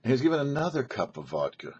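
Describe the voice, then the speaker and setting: A man's voice: mid-range voice, sing-song, racing, husky. An American man hosting and narrating a religious story in an anecdotal way, acting out bits of dialogue. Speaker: host and storyteller. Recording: slightly dull lo-fi recording.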